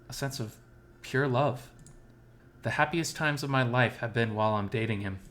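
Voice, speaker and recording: A man narrating a first-person visual novel story in an expressive, scripted reading style. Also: mid-range voice.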